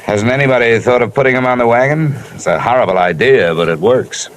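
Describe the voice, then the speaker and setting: An actor playing a wisecracking insurance investigator who narrates a 1950s radio drama in a dry, hard-boiled way. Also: male voice, mid-range voice, a little fast, a little hiss or hum, lightly acted, rough recording. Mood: wry. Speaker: narrator and lead.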